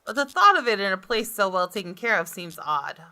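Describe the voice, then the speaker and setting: A woman gamer reading a visual novel aloud as expressive first-person story narration, with music behind her. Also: medium pitch, a little fast. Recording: guitar game music behind the voice. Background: game music with guitar.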